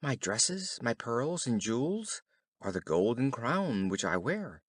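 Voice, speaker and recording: A man voicing a spoiled, disdainful young princess in a storybook, with expressive phrasing. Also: mid-range voice, slow, slightly rough, no room sound, clean studio sound, full character voice. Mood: eager.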